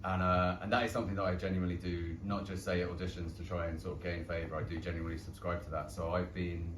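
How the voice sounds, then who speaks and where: A man's voice: deep voice, little pitch movement, fast. A young man with a London Irish accent, an actor chatting casually and self-deprecatingly after a show. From London and Dublin. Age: young adult. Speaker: stage actor. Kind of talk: casual post-show talk. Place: small pub theatre, indoors. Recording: echoey room, distant mic, background noise.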